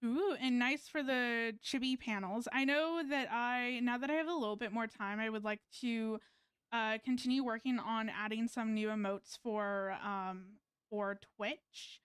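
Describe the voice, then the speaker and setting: A woman's voice: on the high side, a little slow, clear, resonant tone, no echo. A woman game streamer chatting casually in a rambling, hesitant way, close to the mic. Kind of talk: casual livestream chat, reading game dialogue aloud.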